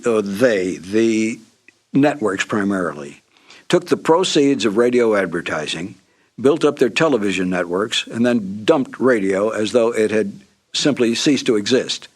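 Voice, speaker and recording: An older American man reflecting in an interview as a veteran radio performer, measured, emphatic and opinionated. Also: lowish voice.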